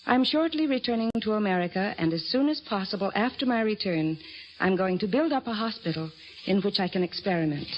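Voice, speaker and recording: A woman's voice: medium pitch, lively intonation, a little fast, natural voice. An actress playing an earnest, resolute young woman doctor of the nineteenth century in an old-time radio drama. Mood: hopeful, resolute. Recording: dull, noisy old radio recording.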